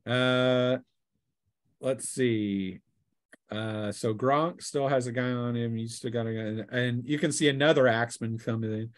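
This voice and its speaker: A man with an average-pitched voice runs a tabletop role-playing game. He narrates combat casually as game master and teases the players. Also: fairly even intonation, measured pace, no room sound.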